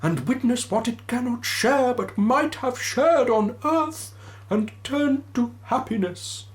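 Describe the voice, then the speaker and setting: Wailing chained ghost, high quavering voice, swooping pitch, slow drawn-out words. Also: male voice, expressive, full character voice. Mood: mournful wailing.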